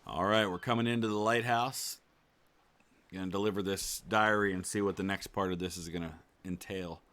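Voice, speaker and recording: Male let's player, fairly low voice, easygoing running commentary, casual. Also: fairly even intonation, moderate pace, a bit bright. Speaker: gaming YouTuber.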